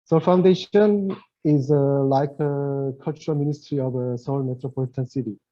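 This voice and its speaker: A middle-aged man with a Korean accent, giving a webinar presentation in English as his second language, halting and searching for words. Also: medium pitch, fairly even intonation, dark tone. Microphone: video call. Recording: lo-fi video call audio.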